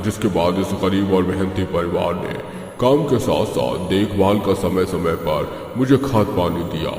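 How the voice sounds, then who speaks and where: Man voicing a deep, echoing old magic tree. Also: darkish tone. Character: weary old talking magic tree, grave and sorrowful. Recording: heavy echo and reverb, music behind.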